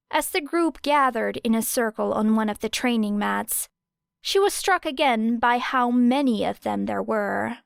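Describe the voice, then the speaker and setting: A woman's voice: a little high, vocal fry, clear, resonant tone, no room sound, studio quality. A woman narrating a scripted story as an audiobook reader.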